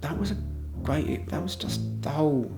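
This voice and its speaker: Higher-pitched man explaining in interview. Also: animated, normal speed. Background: instrumental music.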